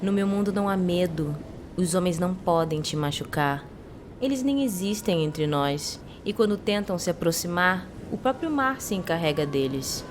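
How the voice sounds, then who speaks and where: Woman acting a mermaid temptress, soft-spoken, slightly low voice, sassy, beguiling, audio drama, noticeable background noise. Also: lively intonation, moderate pace, lightly acted. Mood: intense, persuasive. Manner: soft, delicate, beguiling speech.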